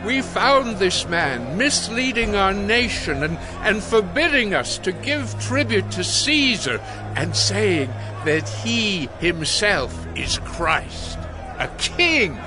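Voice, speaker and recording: A high-pitched, pompous accuser. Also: male voice, sing-song, slow pace, over music, heavily acted. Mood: accusing. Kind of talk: dramatized scripture acting.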